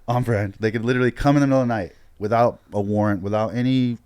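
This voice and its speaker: A man's voice: medium pitch, steady tone, quick pace, darkish tone, smooth, no echo. A man from Hong Kong, a fighter guesting on a podcast, chatting casually with many restarts and frequent laughs. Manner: laughing often, stammering restarts. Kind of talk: casual chat.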